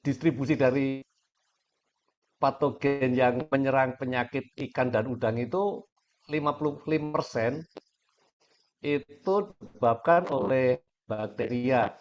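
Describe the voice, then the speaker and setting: Hoarse man presenting over a call. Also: mid-range voice. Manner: measured, many pauses and fillers. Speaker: guest speaker, aquaculture director. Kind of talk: webinar presentation. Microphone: video call. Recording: dull lo-fi call audio.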